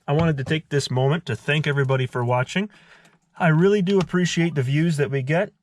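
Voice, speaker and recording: A man's voice: fairly high pitch, fairly quick. Man giving hands-on tutorial over background noise. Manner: methodical, explanatory. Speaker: host of a DIY car repair tutorial. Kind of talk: casual hands-on tutorial narration.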